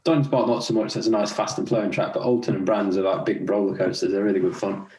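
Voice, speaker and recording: English-accented young man, low, echoey room. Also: fast talker, slight background noise. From Scotland. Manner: relaxed, chatty, laughs while telling stories. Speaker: podcast guest, racing rider. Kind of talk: casual interview chat.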